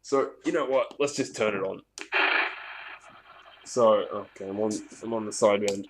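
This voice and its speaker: The recording is echoey, with clear noise behind the voice. A man with a fairly low voice talks casually through a hands-on demo as a hobbyist presenter. He rambles off the cuff and swears freely. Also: moderate pace. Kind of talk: casual hands-on gear demonstration. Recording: echoey room, clear background noise, clicks.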